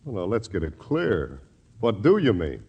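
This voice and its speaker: An actor playing a fed-up, defensive local neighbor in a vintage radio drama, talking in a halting, folksy way. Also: male voice, sits low, huge pitch swings, warm tone, slightly rough, muffled recording, full character voice. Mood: defensive, indignant.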